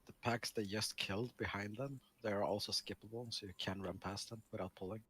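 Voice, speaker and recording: A man's voice: on the low side, steady tone, brisk, a bit bright, a little hiss or hum. A man giving casual gameplay advice to a fellow player.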